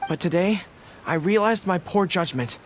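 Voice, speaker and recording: An actor playing an earnest, honourable general in a video game, speaking formally and sincerely. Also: male voice, sits high, lively intonation, moderate pace, slight background noise. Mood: sincere, apologetic. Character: earnest, honourable young male army general.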